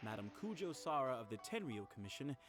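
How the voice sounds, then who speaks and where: Male actor as helpful game clerk: polite, measured explanations, medium pitch, music underneath. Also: big pitch swings, natural voice. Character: polite, helpful festival official, mildly apologetic. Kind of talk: video game voice acting. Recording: clear music behind the voice.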